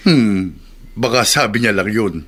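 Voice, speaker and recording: A man's voice: on the low side, a little slow, breathy edge, full character voice. An actor playing a teasing, worldly older male confidant with a coaxing manner in a Tagalog radio drama. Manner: coaxing, animated.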